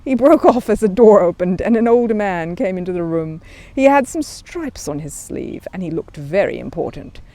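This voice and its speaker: A woman storyteller narrating a children's audiobook in an expressive style. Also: lowish voice, moderate pace.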